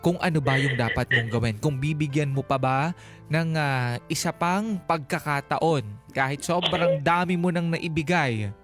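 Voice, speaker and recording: A man's voice: fairly high pitch, moderate pace, a bit bright. A Filipino man co-hosting a radio advice show, talking conversationally and mixing some English into his Tagalog. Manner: code-switches between Tagalog and English. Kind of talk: conversational radio advice, reading listener comments. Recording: music playing behind the voice. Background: background music.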